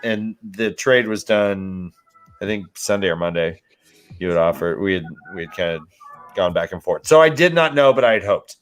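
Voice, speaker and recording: A man's voice: deep voice, lively intonation, normal speed, soft background music. A man talking casually on a sports panel show, informal and self-deprecating. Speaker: fantasy football show panelist.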